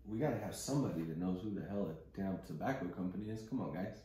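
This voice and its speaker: A man's voice: sits low, expressive, fast, warm tone. A man hosting an investing conference call, giving informal, opinionated market commentary off the cuff in blunt, coarse everyday language. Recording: very roomy, echoing sound.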